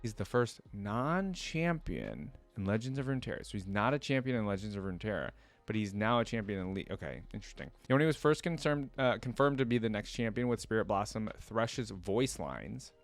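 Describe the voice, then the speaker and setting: A man's voice: lowish voice, lively intonation, a little fast, soft background music. A man giving casual reaction commentary as a channel host, chatting and reading aloud.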